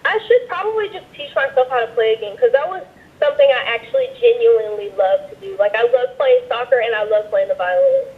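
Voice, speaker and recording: A woman's voice: a little high, very animated, fairly quick. Young woman on a tinny phone line. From Georgia, USA. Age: 20s. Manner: chatty, heavy on "like", emotional at times. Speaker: interview guest, pro wrestler. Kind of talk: casual phone interview.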